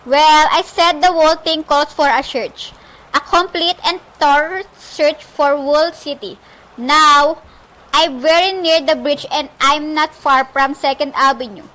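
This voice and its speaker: A high-pitched, fretful wife confined to her bed, acted by a woman in an amateur radio drama. She is fearful yet demanding, pressing her point and stumbling over repeated words. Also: a little slow, bright, forceful tone, rough recording. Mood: insistent, indignant. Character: anxious, demanding bedridden wife, fearful and insistent. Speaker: student actor in a group project. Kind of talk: amateur radio play acting.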